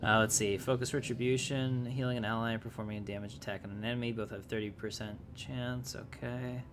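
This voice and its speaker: A man's voice: medium pitch, flat delivery, fairly quick, background noise. A man giving casual, easygoing live gameplay commentary, thinking aloud as he plays. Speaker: gaming streamer.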